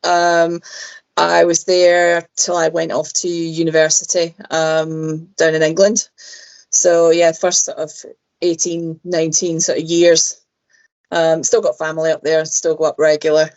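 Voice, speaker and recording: A talkative Glaswegian woman with a slightly low voice. She chats freely as an interview guest and fills her pauses with lots of "um"s. Her audio comes through dull and lo-fi, like a remote call. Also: completely flat delivery, a little mellow, smooth. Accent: Glaswegian Scottish. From Scotland. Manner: chatty, frequent "um"s, laughs easily. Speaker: musician guest. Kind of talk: casual interview chat.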